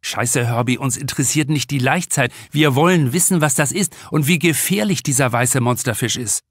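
A man's voice: medium pitch, normal speed, dry recording, studio quality, close to an everyday voice. A man voicing an urgent, blunt young male lifeguard in an audiobook. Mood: impatient. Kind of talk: audiobook character dialogue.

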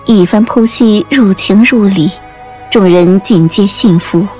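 A woman's voice: mid-range voice, a little slow, warm tone. A woman reading scripted audiobook narration as the storyteller. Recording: music under the voice.

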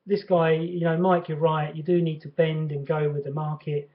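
Higher-pitched man on a dull webcam recording. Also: level tone, moderate pace. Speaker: personal trainer. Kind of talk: casual opinion vlog talk to camera. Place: bright furnished living room at home. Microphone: webcam mic at about arm's length.

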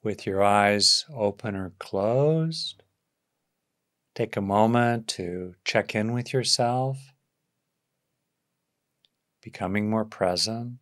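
Slow, low-voiced man guiding meditation. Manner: gentle instructional phrasing with long pauses. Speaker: meditation teacher. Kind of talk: guided meditation.

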